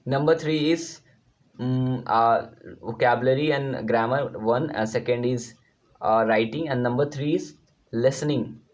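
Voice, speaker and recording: A man with an Indian accent, a native Hindi speaker, gives an informal lesson to viewers as an online tutor, explaining in a halting, repetitive, emphatic way. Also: mid-range voice. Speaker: YouTube language tutor.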